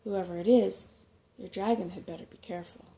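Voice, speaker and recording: A woman voicing a self-important, grasping little male rat mapmaker in a soft-spoken storybook reading, with a fussy, portentous manner. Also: mid-range voice, steady tone, brisk, creaky, natural voice. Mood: conspiratorial, offended. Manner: portentous, fussy, easily affronted.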